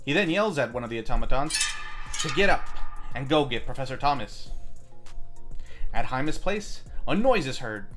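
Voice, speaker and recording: A man hosting a film review, reading a scripted movie recap with deadpan, wry asides over music. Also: medium pitch, moderate pace, long pauses. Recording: music bed under the voice.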